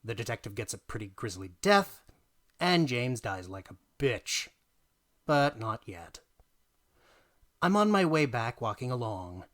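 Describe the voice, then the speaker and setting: A man reading a tall tale as dramatic narration, emphatic and theatrical, swinging between hushed asides and punched lines. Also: mid-range voice, big pitch swings, measured pace, pauses often. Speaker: reader of a tabletop gaming tale.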